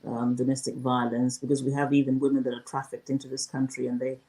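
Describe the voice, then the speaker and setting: A woman, a former news presenter talking through her career at a thoughtful, measured pace in a casual interview. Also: rumbling low, level tone, dark tone, poor audio. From Zimbabwe.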